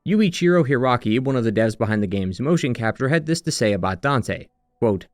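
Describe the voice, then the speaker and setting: A man's voice: medium pitch, lively intonation, a little fast, darkish tone, no room sound, studio quality. A man giving a scripted narration for a video game retrospective.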